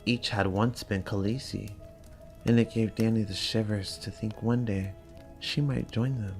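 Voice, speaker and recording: Slow, gravelly male storyteller. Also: on the low side, fairly even intonation, a little mellow, faint music behind. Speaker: narrator. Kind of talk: dramatic storybook narration.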